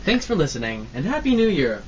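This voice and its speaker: Casting: male narrator for old-time radio serial parody, lively swings, noisy recording. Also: a little high, a little fast. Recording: distant, muffled, noisy live amateur recording.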